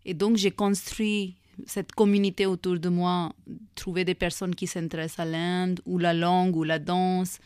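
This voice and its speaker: A woman's voice: lowish voice, little pitch movement, moderate pace, darkish tone, clear, resonant tone, no echo, studio quality. A woman, a Hindi speaker, speaking as a guest in a casual radio interview, hesitant with many fillers and restarts. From India. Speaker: guest, association founder.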